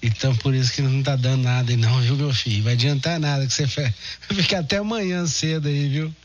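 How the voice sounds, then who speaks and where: A Brazilian man talks in a relaxed, conversational way as a radio operator. His middle-pitched voice comes through muffled, narrow audio with some light humming noise. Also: fast. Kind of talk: casual radio chat.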